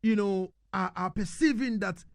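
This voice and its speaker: A man's voice: high voice, moderate pace, dry recording, studio quality. A man hosting a radio interview, asking questions and offering brief encouraging replies. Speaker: radio host.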